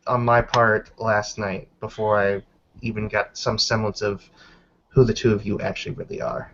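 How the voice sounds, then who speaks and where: A man's voice: on the low side, flat delivery, normal speed, a bit bright, a little hiss or hum, voice pushed down. A man voicing a polite, considerate woman who explains things apologetically in a tabletop roleplaying game. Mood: polite, explanatory. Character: polite, considerate woman hosting two newlyweds. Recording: dull muffled lo-fi audio.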